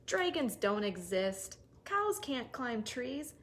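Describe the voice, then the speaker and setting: A woman's voice: fairly high pitch, sing-song, takes it slowly, fairly bright tone, heavily acted. A woman voicing scornful, prim sister cows from a children's storybook, with emphatic, dismissive declarations. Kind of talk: children's storybook read-aloud. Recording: clearly noisy recording with clicks.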